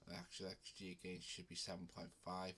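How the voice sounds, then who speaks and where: Deep-voiced man with a cold. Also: fairly quick, slight background noise. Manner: relaxed, with frequent ums and restarts. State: has a cold. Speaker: betting channel host. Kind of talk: casual talk to camera.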